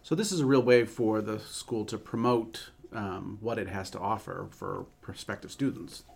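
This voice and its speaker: A man's voice: fairly low pitch, moderate pace, creaky, a little mellow, husky. Man, podcast host, superintendent, friendly questions, some uhs. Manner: friendly, hesitant questions with fillers. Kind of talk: podcast interview hosting.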